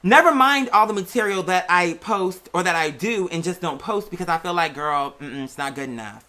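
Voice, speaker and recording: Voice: mid-range voice, lively intonation, normal speed, pushed and bright. An American content creator chatting casually and candidly, rambling and repeating phrases for emphasis. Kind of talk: casual personal chat.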